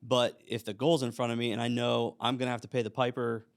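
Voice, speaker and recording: A man's voice: mid-range voice, flat delivery, fast, creaky. A man co-hosting a church podcast, easygoing and self-deprecating in casual chat. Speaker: podcast co-host.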